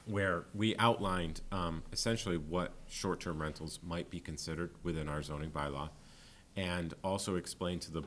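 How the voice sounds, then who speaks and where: A man in his forties, a town planning official, answering a board's questions at a public meeting, often pausing with ums and restarting sentences. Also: sits low, fairly even intonation, a bit bright, slight background noise. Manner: hesitant, many ums and restarts. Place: town hall meeting room. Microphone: desk microphone.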